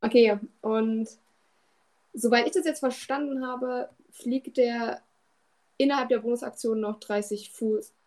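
A woman's voice: a little high, steady tone, a little slow, a little mellow. A woman chatting casually as a player in a tabletop game, tentative and full of questions about her moves.

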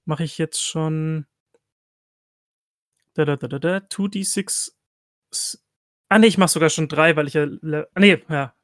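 A man's voice: fairly high pitch, a little slow, long pauses, dry recording, clean studio sound. A man playing a tabletop role-playing game, chatting casually and thinking aloud, mixing in English game terms.

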